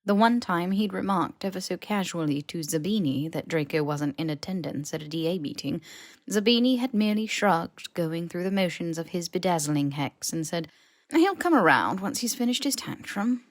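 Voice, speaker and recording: A woman reading fiction as a scripted audiobook narration, in an expressive, wry storytelling manner. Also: fairly low pitch, moderate pace, no room sound. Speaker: podfic narrator.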